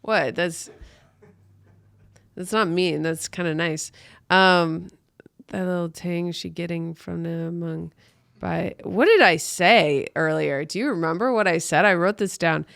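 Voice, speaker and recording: A woman's voice: lowish voice, measured pace, gravelly, a bit bright, no echo, clean studio sound. A woman hosting a comedy podcast, riffing in a blunt, sweary, exaggerated and irreverent way. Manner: blunt, sweary, exaggerated, breaking into mock voices.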